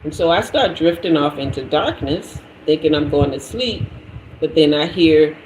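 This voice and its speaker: Animated woman telling a story, echoey room. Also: low voice, normal speed, slight background noise. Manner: lively, acts out every voice in the story. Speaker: tarot reader and host. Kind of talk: animated storytelling to a live audience.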